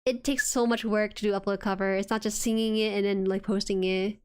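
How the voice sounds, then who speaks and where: A woman with a soft voice chatting casually and reacting excitably as she hosts an anime watchalong stream. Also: medium pitch, fairly quick, clear, resonant tone.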